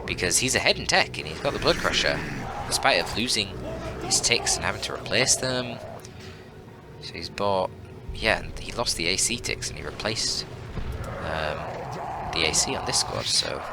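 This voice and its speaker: A man commentating on a strategy game match as a shoutcaster, explaining tactics analytically as he goes. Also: sits low, big pitch swings, normal speed, pauses often, heavy background noise. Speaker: esports shoutcaster.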